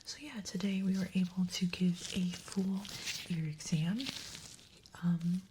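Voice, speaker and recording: A woman whispering softly and breathily in a gentle, reassuring way, as an examiner in an ASMR medical roleplay. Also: lowish voice, fairly even intonation, measured pace, noisy background.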